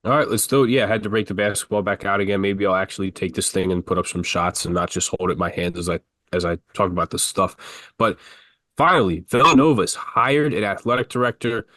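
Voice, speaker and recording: A man with a fairly low voice chats casually as co-host of a basketball podcast. He rambles and restarts his sentences as he goes. The sound is dry, with no room echo. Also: a little fast, pushed and bright. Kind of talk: casual sports talk.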